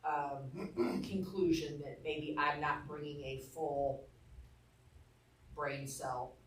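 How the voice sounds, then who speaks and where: A woman's voice: low-pitched, steady tone, takes it slowly. A woman with an Arkansas accent teaching a real estate class, telling candid stories in a blunt, down-to-earth way. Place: training room. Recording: echoey room, mic far off.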